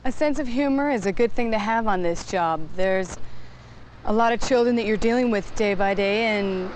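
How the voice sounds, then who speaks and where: A female bus driver gives an earnest testimonial in a middle-pitched voice. Faint location noise sits behind her. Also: normal speed, low-quality recording.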